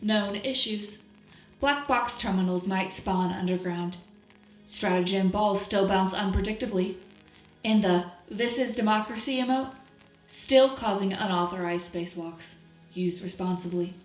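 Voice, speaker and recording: A woman's voice: mid-range voice, unhurried, faint music behind, close to an everyday voice. Patriotic wartime newswoman played by a woman, roomy sound. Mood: upbeat, patriotic. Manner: punchy, slogan-like, propagandistic. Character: patriotic female wartime radio news presenter, upbeat and zealous. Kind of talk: scripted in-universe radio news update.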